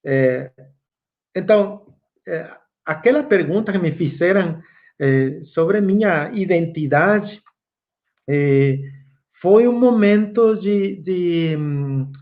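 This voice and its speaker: Guatemalan guy lecturing over a video call, slightly high voice, tinny phone-ish sound, lots of "né". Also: animated. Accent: Guatemalan Spanish. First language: Spanish. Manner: slow and reflective, with frequent "é" and "né" fillers. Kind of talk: online course lecture.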